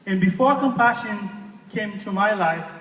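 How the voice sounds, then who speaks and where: A man's voice: high-pitched, moderate pace, a bit bright, recorded from a distance. A man from Haiti, giving an earnest personal testimony speech to an audience and asking them questions. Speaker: guest speaker. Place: large hall with an audience. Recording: echoey hall, voice from afar.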